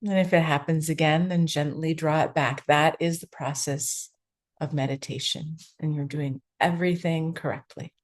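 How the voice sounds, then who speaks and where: A woman teaching a guided meditation, giving gentle, unhurried instructions with long pauses between phrases. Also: sits low, fairly even intonation.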